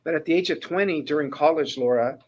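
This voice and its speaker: A man's voice: fairly high pitch, fast, highs cut off. A man in his sixties from Northern California, a ministry mentor coordinator telling his life story as an interview guest, earnest and prone to restarting his sentences. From Northern California, USA. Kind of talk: casual interview talk, personal testimony.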